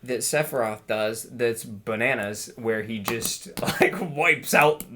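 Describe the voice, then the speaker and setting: A man co-hosting a podcast, chatting casually and excitedly, with frequent laughs and lots of "like". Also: mid-range voice, takes it slowly, a crowd in the background. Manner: excited, laughs often, says "like" a lot. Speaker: podcast co-host. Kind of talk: casual chat.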